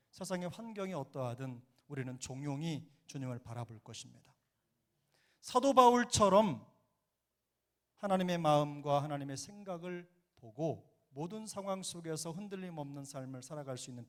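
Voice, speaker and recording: Earnest Korean pastor, slightly high voice. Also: male voice. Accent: Jeolla dialect. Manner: earnest preaching, rising to emphatic exhortation. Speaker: senior pastor. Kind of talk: sermon. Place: church sanctuary.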